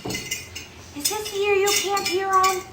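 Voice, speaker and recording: Echoey stage radio play, woman acting devoted little girl, extremely high pitch, earnest, slightly prim. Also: little pitch movement, slow pace. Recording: echoey theatre, faint noise, clinking.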